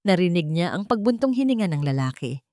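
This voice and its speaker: A woman's voice: on the low side, sing-song, brisk, warm tone, no echo, studio quality. A woman reading a romance story as a dramatic scripted narration.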